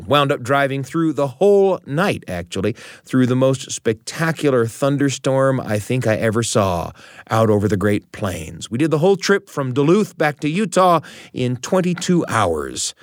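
A middle-aged American man telling a personal story as a radio host, with deliberate, literary phrasing and dramatic repetition. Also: medium pitch, dry recording, studio quality. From United States.

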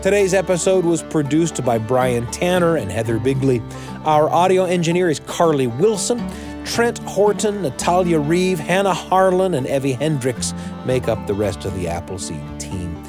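A man's voice: a little high, expressive, moderate pace. A man hosting a radio show, reading a scripted sign-off. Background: guitar music.

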